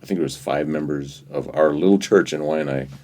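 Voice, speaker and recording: Very deep, gravelly man in his fifties. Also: darkish tone, slight background noise. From Hawaii. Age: 50s. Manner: easygoing, halting, self-correcting storyteller. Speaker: guest. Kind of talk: casual interview chat.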